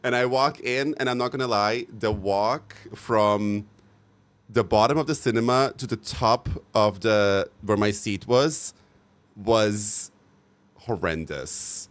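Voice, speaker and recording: A young man chats casually with his livestream viewers, rambling and gushing and swearing now and then. His voice sits a bit low for a man, and he piles up fillers and repeats as he talks. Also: takes it slowly, smooth. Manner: gushing and expressive, with many fillers and repeated "so good"; chatty, frank and sometimes profane. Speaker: livestream host.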